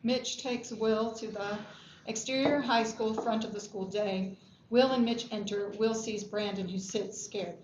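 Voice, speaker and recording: A woman's voice: medium pitch, steady tone, measured pace. A woman reading screenplay stage directions as scripted narration at a table read. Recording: echoey room, dull lo-fi, faint noise.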